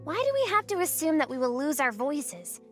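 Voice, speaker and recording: A woman's voice: high voice, big pitch swings, a little fast, faint music behind. An actress playing a young female game character, frank and puzzled, with a questioning, hesitant way of talking.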